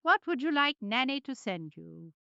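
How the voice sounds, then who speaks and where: Lively, highish grandmother played by a woman. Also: normal speed, creaky, clean tone, no room sound, dull audio, full character voice. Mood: fond, recounting. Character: fond grandmother writing about her travels and granddaughter. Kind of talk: dramatized letter reading.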